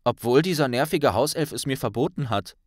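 A man's voice: medium pitch, a little fast, no room sound, clean studio sound, voice pushed up, lightly acted. A man voicing an affectionate, breezy teenage girl in a fantasy audiobook.

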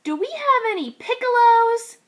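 A squeaky, very high little piglet boy from a children's storybook, as a woman acts him out. He is small, eager and easily indignant, with a childlike, lively swooping delivery. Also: measured pace, heavily acted.

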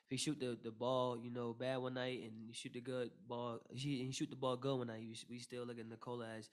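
A young man, a basketball player, answering questions at a postgame press conference into a table mic, with a steady delivery full of "you know" fillers and restarts. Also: mid-range voice, brisk. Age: young adult. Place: press room with logo backdrop. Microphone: table mic in front.

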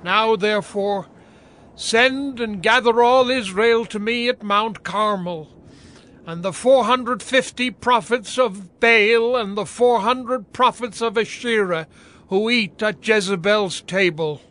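Fervent prophet for audio Bible drama: high voice, slow, stern declamation. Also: male voice, fairly bright tone. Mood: stern, resolute. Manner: solemn, declamatory. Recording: music under the voice.

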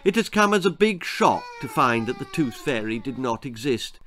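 A man's voice: medium pitch, very animated, normal speed, fairly bright tone. A man in his sixties narrating a children's story in a theatrical, emphatic manner. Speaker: author reading his own stories. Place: small indoor room lined with books.

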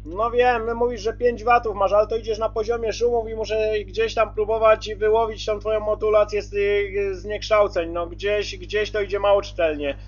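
A man's voice: high-pitched, level tone, moderate pace, hardly any pauses, dull audio. A man talking casually as a CB radio operator, repeating himself as he checks and confirms reception. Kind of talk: casual radio contact talk.

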